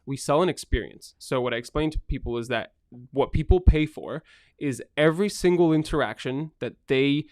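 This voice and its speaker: A man's voice: on the high side, normal speed, a little mellow, no room sound. A man who owns a restaurant, talking earnestly in a casual podcast conversation and often repeating words as he starts a thought. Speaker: restaurant owner.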